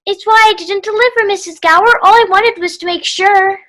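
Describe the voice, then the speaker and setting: A woman's voice: extremely high pitch, brisk, bright, forceful tone. A woman playing an earnest, frightened young boy in an old-time radio play. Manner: pleading, earnest.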